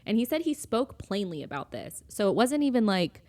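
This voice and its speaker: A woman's voice: medium pitch, very animated, fairly quick, a little mellow. A woman podcast co-host talking casually about faith, informal and a little tentative, often saying "like" and "you know". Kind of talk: casual conversational discussion of faith.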